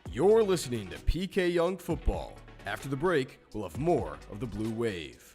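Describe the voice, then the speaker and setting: A man's voice: mid-range voice, big pitch swings, moderate pace, darkish tone, faint music behind. A man reading a scripted radio bumper as a station announcer.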